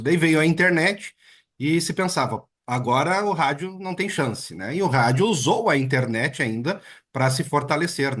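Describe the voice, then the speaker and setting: A man hosting an interview in a relaxed, chatty way, often tagging his sentences with "né". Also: medium pitch, slightly rough. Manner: relaxed, chatty, with many filler "né"s. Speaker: interviewer and host. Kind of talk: casual interview conversation.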